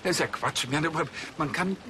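A man's voice: fairly high pitch, moderate pace, background noise, close to an everyday voice. An actor playing a fussy, officious police chief who scolds his officers in a television comedy drama. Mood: indignant. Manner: indignant, officious.

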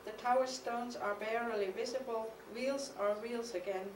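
A woman's voice: on the high side, steady tone, background noise. A middle-aged woman with a German accent, reading a reflective lecture from a podium. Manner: measured, reflective reading with some hesitations. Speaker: artist giving a conference lecture. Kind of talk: reading a prepared lecture, partly poetic. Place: stage of a mid-sized studio hall. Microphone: podium mic on a stand. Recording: echoey hall, from the camera's distance.

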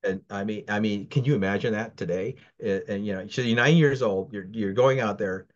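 This is a man in his sixties who grew up in the Philippines but talks with an American accent. He rambles through his stories with many fillers and restarts, in a somewhat low voice. The audio has the muffled, lo-fi sound of a video call. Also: a little fast. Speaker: interview guest. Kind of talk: casual interview. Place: home, virtual background.

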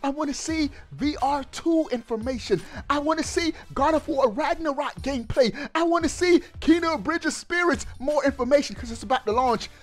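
A man in his thirties giving excited, slangy gaming news commentary into a headset mic, emphatic and drawing out his words. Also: very high-pitched. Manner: emphatic, animated delivery with drawn-out words, slangy. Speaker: gaming YouTuber. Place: indoors at a streaming setup.

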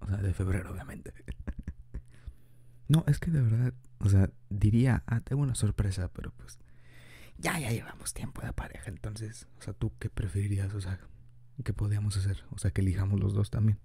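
A man's voice: on the low side, moderate pace, gravelly, warm tone, slightly rough, no echo. A young man improvising an ASMR boyfriend roleplay, rambling chattily and laughing often into a close mic. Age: young adult.

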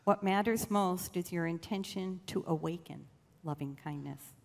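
A woman leading a guided meditation in a slow, gentle, soothing way with long pauses. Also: lowish voice, gravelly. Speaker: church meditation leader. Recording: long reverb of a large room.

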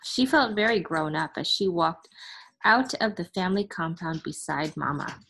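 Slightly husky woman reading a storybook aloud. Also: fairly low pitch, animated, moderate pace, fairly bright tone. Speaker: teacher reading a picture book aloud. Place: small room at home, on a sofa.

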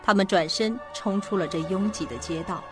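A woman narrates a scripted suspense audio drama. Her voice sits at a medium pitch, with faint music playing underneath. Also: moderate pace. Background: background music.

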